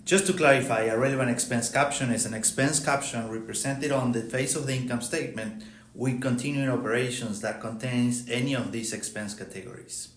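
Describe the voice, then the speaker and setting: A man's voice: mid-range voice, fairly even intonation, a little fast. A middle-aged man with an Argentine accent, speaking as an expert podcast guest in a formal, methodical way. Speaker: podcast guest, accounting firm partner. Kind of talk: prepared technical explanation. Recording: roomy echo, faint background noise.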